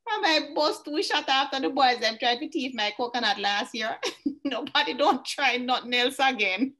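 A woman's voice: sits high, lively intonation, moderate pace, sharp tone. A woman playing a feisty, independent elderly farm woman with a Jamaican accent in a radio drama, firm and teasing. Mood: amused, firm.

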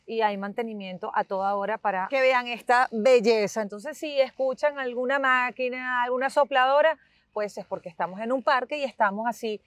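Lively female interviewer, higher voice. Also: moderate pace, dry recording. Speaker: interviewer, host. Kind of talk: conversational interview. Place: outdoors in a city park. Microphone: clip-on lapel mic.

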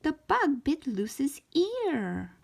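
A woman reading a storybook aloud for young children in an expressive, deliberate way, stretching out key words. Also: high-pitched, creaky. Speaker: children's storybook reader, show host.

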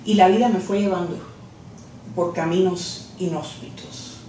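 A woman hosts an interview and responds warmly to her guest. Her voice sits a little low. She is heard from a distance in a very echoey office with faint ambience. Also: steady tone, measured pace, slight background noise. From Cuba. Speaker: interviewer, host. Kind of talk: casual conversational interview. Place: office, seated at a desk. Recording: echoey room from far off.

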